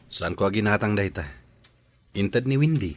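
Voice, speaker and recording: A man's voice: on the low side, lively intonation, normal speed, lightly acted. A man with a Filipino accent playing a polite, easygoing office boss in a radio drama. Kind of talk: Ilocano radio drama acting.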